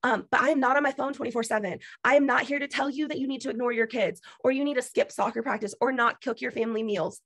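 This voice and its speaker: A woman lectures her team on a video call. She is emphatic and preachy, drives her points home with repetition, and her voice is going hoarse. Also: fairly high pitch, fairly even intonation, quick pace, hardly any pauses, fairly bright tone, no room sound, clean studio sound. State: losing her voice. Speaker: business team leader. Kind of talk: motivational pep talk on a video call.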